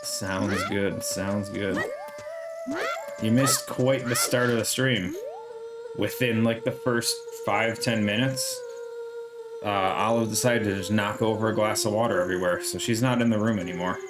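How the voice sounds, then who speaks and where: Streamer, male, very low voice, loose chatty play-by-play, game music underneath. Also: steady tone, measured pace. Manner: chatty, thinks aloud, answers chat, swears casually. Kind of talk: casual gameplay commentary.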